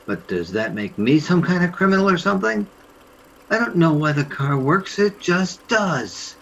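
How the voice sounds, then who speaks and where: A man's voice: a little high, sing-song, moderate pace, fairly bright tone, dull audio, full character voice. A man playing an accused suburban husband, bewildered, defensive and indignant, in a radio play.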